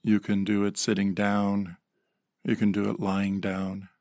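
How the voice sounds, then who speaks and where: Male meditation guide, lowish pitch with vocal fry, gentle delivery with pauses. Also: monotone, no echo, studio quality. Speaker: meditation teacher.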